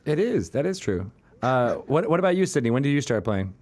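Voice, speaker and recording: A man's voice: mid-range voice, big pitch swings, brisk, background noise. Playful male host with a swooping voice. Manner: teasing, jokey. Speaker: podcast host. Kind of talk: casual interview banter.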